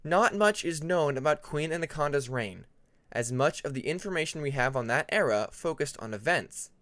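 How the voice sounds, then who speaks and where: Expressive male story narrator, animated pitch. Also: normal speed, no echo. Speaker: audiobook narrator of fan fiction.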